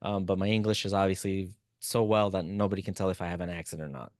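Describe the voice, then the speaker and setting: A man whose first language is Spanish, teaching a live online class and talking to students in chat in a relaxed, encouraging way. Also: deep voice, fast, no room sound, clean studio sound. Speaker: instructor.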